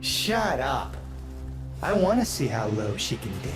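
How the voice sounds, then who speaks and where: A man's voice: medium pitch, big pitch swings, measured pace, reverberant, music in the background. A man playing a bitter, self-pitying, aggrieved son in a video game, brooding and sardonic. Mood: scornful.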